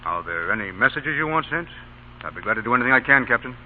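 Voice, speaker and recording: An actor playing a dutiful Union army officer in an old radio drama. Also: male voice, mid-range voice, very animated, fast talker, lightly acted. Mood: sober, sympathetic. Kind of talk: 1930s-40s radio drama acting. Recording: tinny, faintly noisy old radio recording.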